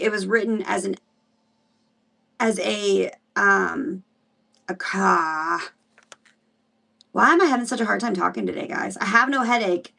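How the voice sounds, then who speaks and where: A woman's voice: mid-range voice, creaky, fairly bright tone, recorded from a distance. A woman hosting a casual livestream Bible study, chatty and rambling, greeting viewers by name as she teaches. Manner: chatty and rambling, with frequent fillers and restarts.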